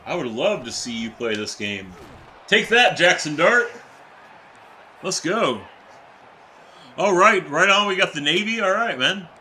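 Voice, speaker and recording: A young adult man, a teacher by day, giving casual, excitable live-stream commentary while playing a football video game and chatting with viewers. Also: high-pitched, a little slow, pauses often, slight background noise. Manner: excitable, chatty, reacts to plays and reads chat aloud.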